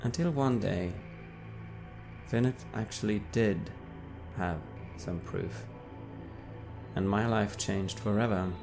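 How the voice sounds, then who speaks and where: A man with a low voice reads a first-person backstory in an even, wry manner. He lets phrases trail off softly rather than pushing them. Soft music sits quietly behind the reading. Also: takes it slowly, creaky, long pauses. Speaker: player reading character backstory. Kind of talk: dramatic reading in character.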